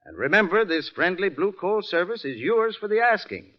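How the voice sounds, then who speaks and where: Male American radio pitchman: highish, lively, friendly and earnest, folksy advice, muffled vintage recording. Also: normal speed. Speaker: heating expert pitching a sponsor's product. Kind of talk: folksy scripted 1940s radio commercial.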